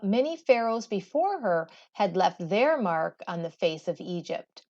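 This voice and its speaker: A woman teacher reading a textbook aloud for schoolchildren in an expressive, deliberate way, with storybook-like phrasing and pauses. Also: mid-range voice, dull audio.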